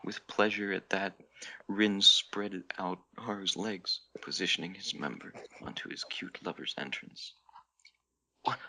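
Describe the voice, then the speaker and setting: A man's voice: sits low, measured pace, vocal fry, bright, forceful tone, a little hiss or hum, low-quality recording. A man narrating fanfiction on a podcast in a theatrical, comic dramatic reading, stumbling over the odd word. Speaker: podcast member reading fan fiction.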